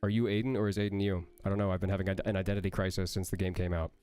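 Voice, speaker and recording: A man's voice: sits low, little pitch movement, a little mellow, clear, resonant tone, faint music behind. Nasal young man joking through a Q&A. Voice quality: nasal, sounds like he has a cold. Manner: playful, joking, answers quickly. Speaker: voice actor. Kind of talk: livestream Q&A reading chat questions aloud.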